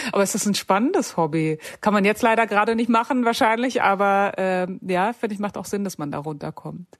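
A woman's voice: mid-range voice, normal speed, no echo, clean studio sound. A woman interviewing a guest, asking probing follow-up questions. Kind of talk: conversational interview.

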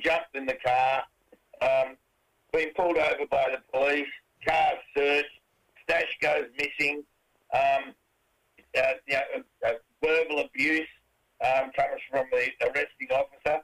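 A middle-aged Australian man with a raspy voice, a rock band singer answering interview questions in a rambling way, full of fillers and restarts. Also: on the high side, fairly even intonation, unhurried, a bit bright, low-quality recording.

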